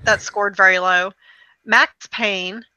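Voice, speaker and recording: Bright, wry woman, casual podcast chat. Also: medium pitch, fairly even intonation, takes it slowly, no echo, rough recording. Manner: wry, dismissive asides. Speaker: co-host of a video game review show. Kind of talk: casual chat, reading through a list with commentary.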